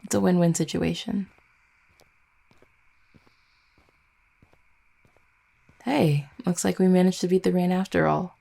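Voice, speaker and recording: Woman playing a tender, gravelly-voiced vampire girlfriend. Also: fairly even intonation. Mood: gentle, reassuring. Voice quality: breathy, gravelly low voice. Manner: hesitant, halting, soft-spoken. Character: tender, anxious young female vampire girlfriend. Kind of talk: intimate scripted audio roleplay.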